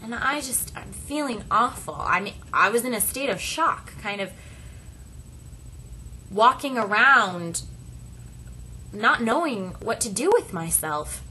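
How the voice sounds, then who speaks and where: A woman voicing a first-person story as an interpreter, speaking deliberately in short phrases with dramatic pauses. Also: fairly high pitch, animated, pushed and bright, a little hiss or hum, poor audio.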